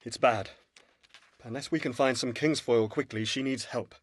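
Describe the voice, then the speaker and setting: A man's voice: mid-range voice, moderate pace, dry recording, studio quality, lightly acted. A man playing a concerned, practical ranger companion, earnest and worried, in an amateur fantasy audio play.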